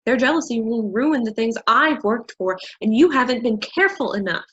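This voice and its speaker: Haughty, husky woman acted out, slightly high voice. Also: lively intonation, normal speed, a bit bright, highs cut off. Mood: conspiratorial, grandiose. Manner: condescending, theatrical. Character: haughty, paranoid woman boss of a scheme. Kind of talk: staged play reading.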